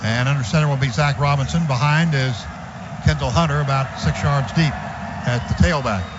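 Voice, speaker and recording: A man calling a football game live on the radio as play-by-play announcer, calm between plays and rising sharply when the action breaks. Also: moderate pace. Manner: rises in pitch and volume on big plays, calm between. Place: stadium radio booth. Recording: muffled lo-fi radio broadcast with background noise.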